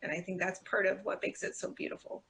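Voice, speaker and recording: A woman's voice: mid-range voice, steady tone, fast talker, creaky, fairly bright tone, low-quality recording. A woman talking conversationally as a guest on a panel discussion. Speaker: audio company executive, panel guest. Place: remote online video conversation.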